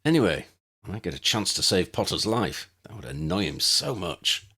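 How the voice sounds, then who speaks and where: A proud, sharp-witted young wizard, as a male narrator puts him on. He is dry and needling, with a low voice that swings animatedly in pitch for a storybook scene. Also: very animated, normal speed, creaky, husky, exaggerated voice. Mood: sardonic.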